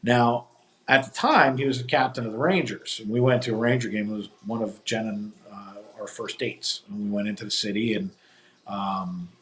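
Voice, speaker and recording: A man's voice: on the low side, moderate pace, fairly bright tone. A man hosting a live stream, chatting casually and rambling through opinionated sports talk. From New Jersey. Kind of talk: casual chat. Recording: echoey room.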